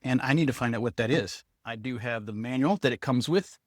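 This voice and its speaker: A man talks informally to viewers as a product reviewer. His voice sits at an average male pitch, and he thinks aloud while handling the item. The sound is dry, with very little room in it. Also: brisk, dry recording. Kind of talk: casual hands-on product review, speaking to viewers.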